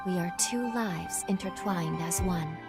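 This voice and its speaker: A woman acting a gentle, solemn young female guide in a video game cutscene, speaking in a formal, earnest, measured way. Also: on the low side. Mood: gentle, grave. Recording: music under the voice. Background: background music.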